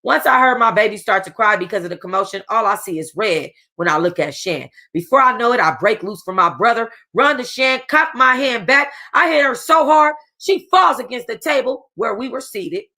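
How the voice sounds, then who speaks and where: A woman reading a first-person novel aloud for a book club, casual and expressive, with a streetwise tone. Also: a little high, moderate pace, few pauses.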